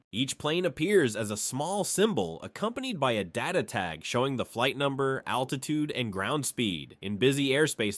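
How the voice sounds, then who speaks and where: A man delivers scripted documentary-style explainer narration in a slightly high voice. His phrasing is clear and measured, with plenty of melodic movement. The sound is dry and close, free of room echo. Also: a bit bright.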